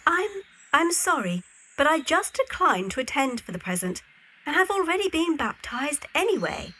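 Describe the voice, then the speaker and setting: A woman's voice: high voice, very animated, normal speed, bright, forceful tone, slight background noise, exaggerated voice, poor audio. A woman playing a polite but decided young lady in a period audio drama, firm though a little hesitant. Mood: politely resistant.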